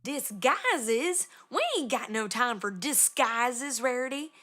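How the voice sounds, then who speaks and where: A man's voice: very high voice, lively intonation, slow, bright, forceful tone, voice pushed up, full character voice. A man voicing a tough, blunt country mare who talks in a folksy drawl. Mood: impatient.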